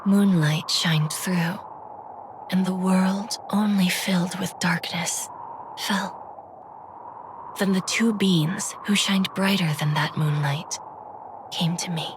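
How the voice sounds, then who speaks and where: An actress voicing a grown half-demon woman who solemnly narrates memories of her childhood in a hushed, breathy, wistful way. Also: fairly low pitch, steady tone, slow, long pauses, background noise. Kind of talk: scripted dramatic narration. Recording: music behind the voice.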